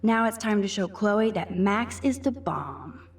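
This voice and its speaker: A woman's voice: mid-range voice, animated, measured pace. A young American woman playing a wry, nerdy, curious student girl in a video game, with a quippy, musing delivery. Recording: music behind the voice.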